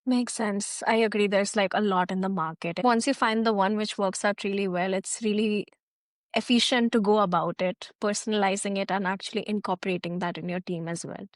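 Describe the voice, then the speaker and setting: A woman hosting a podcast interview who talks casually and often says "like." Her voice sits at a middle pitch. It sounds dry and close to the mic. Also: steady tone, fairly quick, no room sound. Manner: conversational, uses "like" often. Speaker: podcast host. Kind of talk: interview questions.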